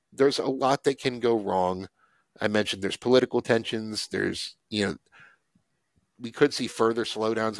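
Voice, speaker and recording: Low, gravelly man in his forties, measured host voice, dry headset sound. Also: no echo. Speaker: investing show host. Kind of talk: casual video call discussion. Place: home office. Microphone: headset mic on video call.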